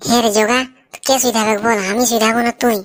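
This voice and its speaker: A woman's voice: a little high, little pitch movement, fairly quick, lightly acted. A woman voicing a nosy, teasing groom's sidekick in a comedy cartoon, chatty and questioning. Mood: bustling.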